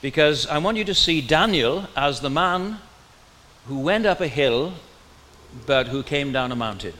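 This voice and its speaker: A man's voice: a little high, lively intonation, measured pace, bright, forceful tone, in a large hall, a little hiss or hum. A man with a Welsh accent preaching a sermon in a conversational way, often asking his listeners questions.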